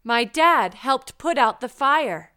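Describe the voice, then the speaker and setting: A woman's voice: high voice, lively intonation, slow, sharp tone, clear, resonant tone. A woman reading short practice sentences for language learners, carefully enunciated. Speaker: language teacher. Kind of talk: slow drill sentences for learners.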